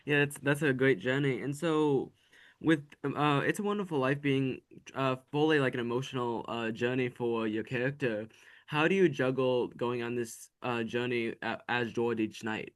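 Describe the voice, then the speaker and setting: A man with an average-pitched voice hosts a podcast interview. He asks his questions in a casual, conversational style. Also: normal speed.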